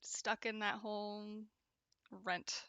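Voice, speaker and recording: Young woman craft streamer with a bright, gravelly voice, chatty and laughing often, in a dry, close sound. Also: medium pitch, level tone, takes it slowly, dry recording. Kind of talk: casual chat while crocheting and reading chat.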